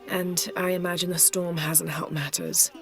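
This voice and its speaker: An actress playing a poised, kind and determined young woman archaeologist in a video game, speaking calmly and politely. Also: on the low side, moderate pace, over music, close to an everyday voice. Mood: calm, probing. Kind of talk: video game cutscene acting.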